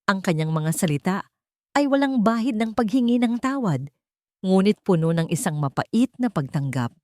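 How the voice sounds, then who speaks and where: A woman with a Filipino accent narrates a scripted first-person story in a measured, dramatic and sentimental manner. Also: medium pitch, darkish tone, no echo, clean studio sound.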